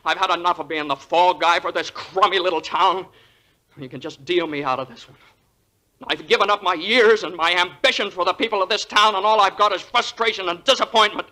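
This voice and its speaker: An actor playing an earnest, frustrated, quick-tempered small-town American man in a 1940s radio play, hesitant and excitable. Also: high voice. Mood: desperate, angry. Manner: hesitant, repeating words, excitable. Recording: old radio play recording.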